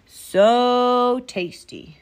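A woman voicing a sly, greedy storybook wolf who talks in a smooth, courtly, mock-polite way. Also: mid-range voice, big pitch swings, takes it slowly. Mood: smugly greedy.

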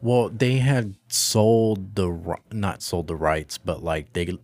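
A man's voice: sits low, steady tone, slow pace, a little mellow, no echo. A young man co-hosting a casual podcast, rambling loosely with many fillers. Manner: loose, filler-heavy talk. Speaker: podcast co-host. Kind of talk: casual chat.

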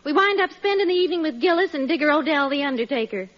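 An actress playing a sensible, skeptical, exasperated wife in a 1940s radio sitcom. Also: female voice, high-pitched, lively intonation, fast talker. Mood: wry. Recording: dull vintage 1940s radio recording.

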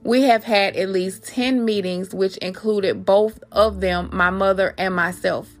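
A woman's voice: medium pitch, fairly even intonation, measured pace. Woman, podcast host. Mid-range voice, speaks directly and familiarly to listeners or reads aloud, music underneath. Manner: addresses listeners familiarly as guys. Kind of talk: personal podcast talk and reading aloud.

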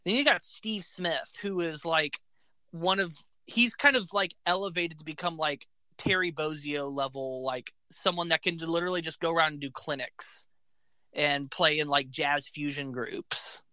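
A man with a high voice, talking eagerly about a band in casual podcast chat, full of facts and "like"s. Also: moderate pace, sharp tone, clean tone, dry recording. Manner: enthusiastic, fact-filled, says "like" often. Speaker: podcast co-host.

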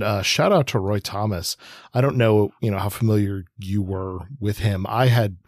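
A man co-hosting a podcast, talking casually and appreciatively in a rambling, halting way. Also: on the low side, no echo, clean studio sound. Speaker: podcast co-host. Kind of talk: casual chat about comics.

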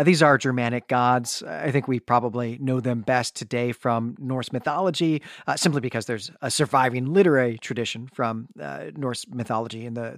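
A man's voice: medium pitch, no echo, clean studio sound. A man co-hosting a podcast, explaining background in a scholarly, informative way. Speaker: podcast co-host.

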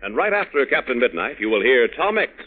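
A male radio announcer with a middling, very animated voice, reading in an emphatic, folksy 1940s style. The recording is narrow and tinny. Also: medium pitch, fairly quick, a little hiss or hum.

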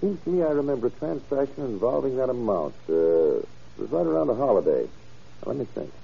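A plain, helpful townsman in an old radio crime play. His voice is heavily husky and moves freely up and down. The recording is dull and aged. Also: medium pitch, big pitch swings, a little fast, warm tone, full character voice. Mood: pondering. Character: helpful, matter-of-fact male townsman, deputy or bank cashier. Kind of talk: old-time radio crime drama acting. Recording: muffled vintage radio drama recording.

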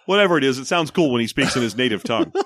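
A man's voice: mid-range voice, expressive, very fast, fairly bright tone, no room sound, studio quality. A man co-hosting a casual movie recap podcast, joking and giggling as he rambles. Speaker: podcast co-host.

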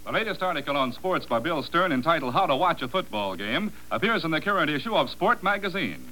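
Bright, forceful American network radio announcer. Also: male voice, on the high side, big pitch swings, a little fast. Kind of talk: 1940s scripted radio announcing. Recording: noisy, humming vintage radio recording.

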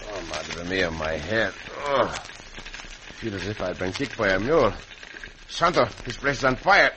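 Animated Mexican cowboy hero, noisy recording. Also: male voice, lowish voice, measured pace. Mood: groggy, alarmed. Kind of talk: old-time radio western acting. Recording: very noisy old radio recording.

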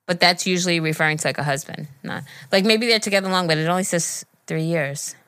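An American woman, middle-aged, co-hosting a casual podcast where she chats and reads listener letters aloud with animated asides. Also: lowish voice, fast talker, smooth, no echo, clean studio sound. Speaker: podcast co-host.

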